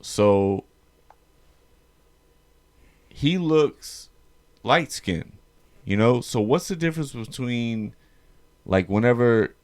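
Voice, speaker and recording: A man hosting a podcast, talking in a laid-back, halting way and asking questions. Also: mid-range voice, expressive, darkish tone. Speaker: podcast host. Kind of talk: casual chat.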